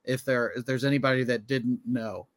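A man's voice: medium pitch, fairly even intonation, normal speed, no room sound, clean studio sound. A man co-hosting a comedy podcast in casual, joking chat. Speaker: podcast co-host.

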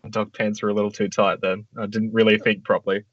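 A man's voice: lowish voice, level tone, fast, no room sound. A man co-hosting a comedy podcast, rambling in a mock-earnest way. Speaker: podcast co-host, contestant. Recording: dry lo-fi podcast audio.

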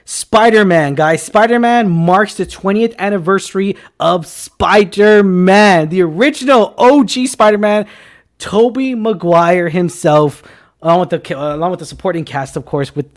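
A man in his twenties with a fairly high voice hosts a loose panel chat. He rambles excitably, circles back over the same phrases and leans on buddy slang. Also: normal speed. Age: 20s. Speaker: show host. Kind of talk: casual panel chat on film news.

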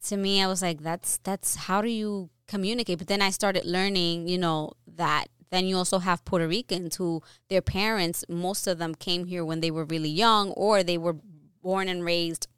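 Young adult woman, podcast co-host, earnest and chatty with lots of fillers; smooth, lowish voice, dry close mic. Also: steady tone, normal speed, a bit bright, no room sound. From New York, US. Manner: earnest, opinionated, many fillers and restarts. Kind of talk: casual conversational podcast.

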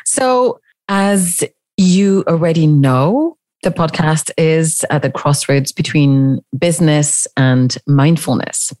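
A woman's voice: low-pitched, slow pace, a little mellow. A woman hosting an interview podcast, introducing her guest and talking with her.

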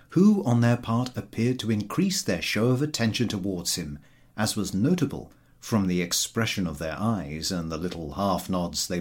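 A man's voice: on the low side, husky. A man reading a literary short story aloud as scripted narration. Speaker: audiobook reader.

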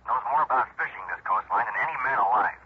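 A man's voice: on the low side, animated, fast talker, lightly acted. An American man playing a chummy, coy insurance man making a call in a 1960s radio drama. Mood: jovial. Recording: muffled old radio, faint noise.

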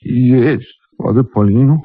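An actor playing a distraught Mexican man in 1950s radio drama, stammering anxiously in halting English. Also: mid-range voice, breathy edge, dry recording. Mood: anxious grief. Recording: muffled old radio recording.

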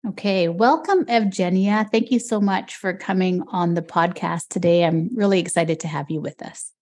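A woman's voice: lowish voice, moderate pace, a little mellow. A woman hosting an interview podcast, introducing and questioning her guest. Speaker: podcast host.

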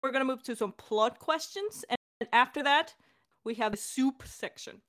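A young woman hosting a casual podcast chat, talking in a bubbly, excitable and informal way. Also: high-pitched, normal speed. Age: young adult. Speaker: director and voice actor hosting a Q&A.

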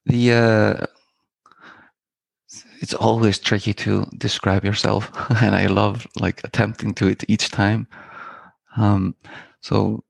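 A man's voice: lowish voice, flat delivery, slow pace, gravelly, pauses often, dry recording. A middle-aged man from Iceland, a filmmaker guest talking in a reflective, meandering, poetic way with many fillers and restarts. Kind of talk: reflective interview talk.

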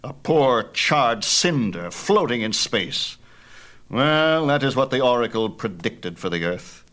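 A man's voice: mid-range voice, sing-song, slow, fairly bright tone. A man voicing a proud, mighty father god in a myth tale, imperious, theatrical and emphatic. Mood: grave, pleading. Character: proud, mighty sun god father, booming and quick-tempered. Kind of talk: dramatized myth storytelling.

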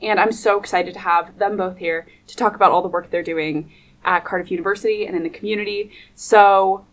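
A woman's voice: mid-range voice, fairly even intonation, a little fast. A young woman hosting a student radio interview in a studio, upbeat and laughing often. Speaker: radio host.

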